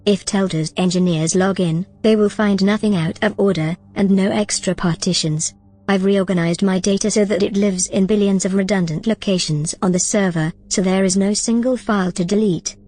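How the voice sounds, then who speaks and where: A woman playing an AI companion, speaking calmly, precisely and reassuringly. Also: mid-range voice, moderate pace. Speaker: AI companion character. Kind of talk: audio drama acting.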